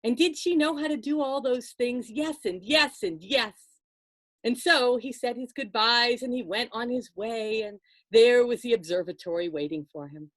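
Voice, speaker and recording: High-voiced woman telling a folktale. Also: no echo. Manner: expressive and conversational, with dramatic pauses and asides to listeners. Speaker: storyteller. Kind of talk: live oral folktale telling to listeners.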